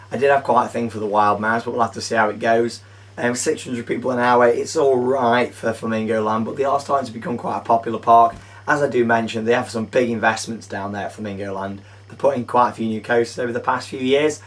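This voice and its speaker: A young man hosting a chatty, upbeat theme park news show, his voice gone a little croaky, talking enthusiastically with frequent fillers. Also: mid-range voice, distant mic. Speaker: host.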